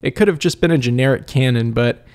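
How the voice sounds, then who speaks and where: Man narrating a review, creaky voice. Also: medium pitch, fast talker, a little mellow.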